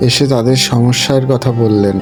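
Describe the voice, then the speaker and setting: A man narrating a cartoon story in a measured, storybook manner. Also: mid-range voice, steady tone, a little mellow, faint music behind.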